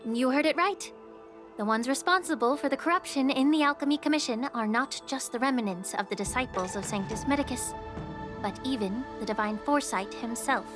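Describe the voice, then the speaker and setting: A woman voicing a composed, wry healer official in a video game, speaking in a measured, polite and philosophical way. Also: high voice, natural voice. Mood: pointed, wry. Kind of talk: English video game voice acting. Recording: loud music under the voice.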